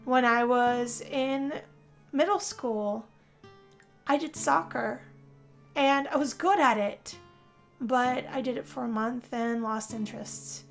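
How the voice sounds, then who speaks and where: A woman's voice: fairly high pitch, slow pace, vocal fry. A woman voicing a moody, weary young woman in a first-person dramatic role-play, with a sighing, confessional delivery. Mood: self-critical, restless. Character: young woman Yazmin, moody, weary, being turned vampire. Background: guitar music.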